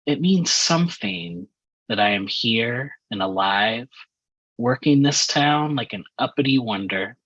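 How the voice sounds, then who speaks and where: Poetry reader, raspy tone, slow dramatic delivery, dry sound. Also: mid-range voice, animated, a bit bright, dry recording, dull audio.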